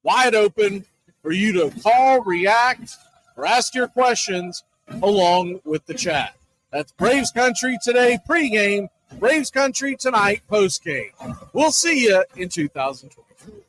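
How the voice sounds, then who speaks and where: A male sports radio host recorded close and very dry. His voice is high for a man, and he speaks in emphatic, deliberate phrases, like a play-by-play announcer presenting a show. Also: animated, a bit bright, dry recording, low-quality recording. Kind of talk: radio promo and commentary.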